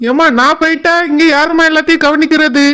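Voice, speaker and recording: A man's voice: extremely high pitch, level tone, quick pace, pushed and bright. A man voicing a dutiful, practical grown son in a village cartoon, obliging in manner, with a Kanyakumari Tamil accent. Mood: busy, obliging. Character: dutiful, practical adult son organizing transport. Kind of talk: village cartoon drama acting.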